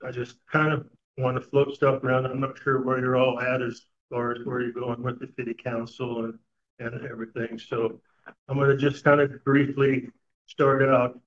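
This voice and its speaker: An older man giving a public comment at a meeting, speaking haltingly with many fillers. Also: mid-range voice, flat delivery. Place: meeting room. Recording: dull lo-fi meeting recording.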